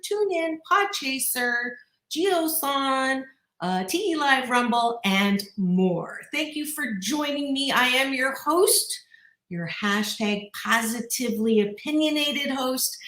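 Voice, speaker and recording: A woman hosting a radio talk show, bubbly and loud, laughing often. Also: sits high, echoey room. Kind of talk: energetic radio chat.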